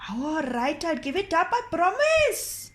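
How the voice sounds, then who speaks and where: A woman's voice: very high-pitched, big pitch swings, unhurried, pitched above the performer's own voice, full character voice. A woman voicing a frightened, whiny male thief who moans and pleads. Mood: pleading. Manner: moaning, pleading.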